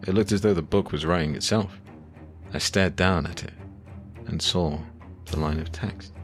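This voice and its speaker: A man playing a weary, shaken occult adventurer, telling his ordeal in deliberate, brooding scripted narration. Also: low voice, lightly acted. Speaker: first-person narrator. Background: music.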